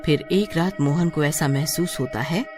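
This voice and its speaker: A woman's voice: low voice, moderate pace, music in the background. A woman reading scripted narration for a cartoon story.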